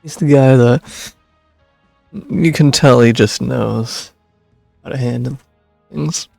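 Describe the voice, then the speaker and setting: A man's voice: mid-range voice, takes it slowly, creaky, darkish tone, no echo, faint music behind. A man streaming a video game, making wry, chuckling asides in casual commentary.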